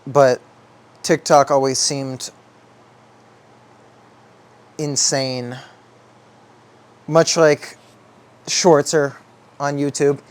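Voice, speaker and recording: A young man giving a rambling, reflective monologue to an audience as a video essayist, thinking aloud haltingly and drawing out words for emphasis. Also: medium pitch, steady tone, clean tone.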